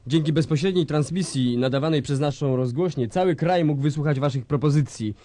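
An actor playing a composed, idealistic guerrilla leader, speaking with declamatory authority in a radio drama. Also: male voice, on the high side, a little fast, warm tone, no echo, natural voice. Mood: stern triumph. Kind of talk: stage-like radio drama acting.

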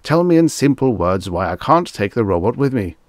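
A man's voice: sits low, normal speed, no room sound, studio quality. A man voicing a rich, impatient bully in an audiobook story, with a curt, growling manner. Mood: angry.

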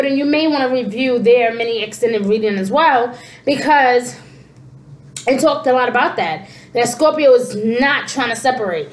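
Congested woman in her forties, high-pitched, casual reader to camera in a roomy-sounding small room. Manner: animated hand gestures, frequent "you know" and "okay". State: congested, stuffy nose. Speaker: tarot reader. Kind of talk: casual spiritual reading to camera. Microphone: camera at desk distance. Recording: echoey room.

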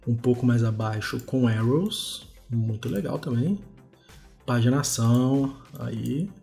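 Mellow Brazilian man presenting over music. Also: medium pitch, slow, vocal fry. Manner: informal, conversational, demonstrating as he goes. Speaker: host of a web development video show. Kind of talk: casual screencast commentary. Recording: music behind the voice. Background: background music.

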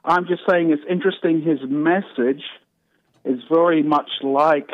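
A man's voice: fairly high pitch, steady tone, unhurried, no room sound. A man with a New Zealand accent calling in to a show, chatting earnestly in a hesitant, repetitive way. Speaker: phone-in caller. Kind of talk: casual, earnest chat. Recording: tinny, narrow phone line.

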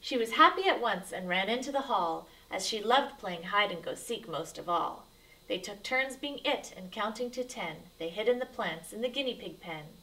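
A woman reads a rhyming picture book aloud for children. Her delivery is dramatic and emphatic, at a medium pitch. She sounds a little distant from the microphone. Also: animated, normal speed, bright, forceful tone. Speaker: read-aloud storyteller.

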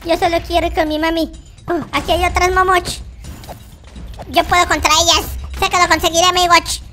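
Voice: very high voice, sharp tone, a little hiss or hum. A gaming YouTuber voicing a boastful, whiny noob baby boy in exclamatory, babyish video game roleplay. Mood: determined.

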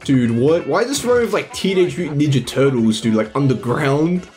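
Animated, excited young man reacting. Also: on the high side, normal speed, soft background music. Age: young adult. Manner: excited, slangy. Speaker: reaction video host. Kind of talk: casual live reaction commentary.

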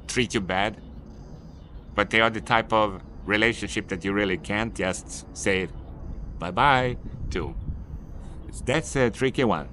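A man's voice: lowish voice, fairly bright tone, a little hiss or hum. A Swedish man who speaks English as a second language, giving unscripted, somewhat repetitive and mildly annoyed advice while filming on a city street. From Sweden. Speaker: vlogger, business owner. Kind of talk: unscripted vlog monologue.

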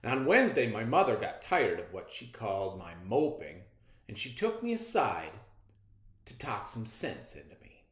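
A middle-aged man reading a storybook aloud in a dramatic, deliberate way. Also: mid-range voice, huge pitch swings, fairly bright tone. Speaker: read-aloud narrator. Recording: roomy echo.

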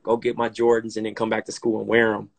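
A man in his thirties from Compton hosting a casual interview over a phone live stream, relaxed and hesitant, often repeating his words. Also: lowish voice, fast, vocal fry. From Compton, California. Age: 30s. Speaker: podcast host. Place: dim room indoors, on a live video call. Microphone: phone camera, split-screen live stream.

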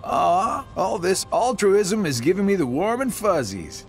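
Smug, drawling middle-aged villain. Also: male voice, sits high, sing-song, a little slow, soft background music, full character voice. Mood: sarcastic. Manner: mocking, sarcastic drawl. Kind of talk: video game cutscene acting.

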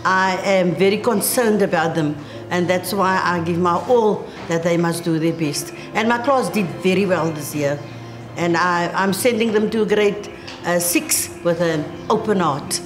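An older woman with a South African accent, a retiring teacher, speaking over the phone to a radio host, excited and laughing. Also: lowish voice, reverberant, over music. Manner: excited, laughing, slow and drawn out. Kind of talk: surprised phone call. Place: staff room indoors.

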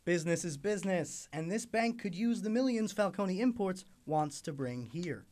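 An actor playing a smooth-talking, dismissive bank executive in a noir radio drama, glib and breezy. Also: male voice, sits high, animated, moderate pace, darkish tone.

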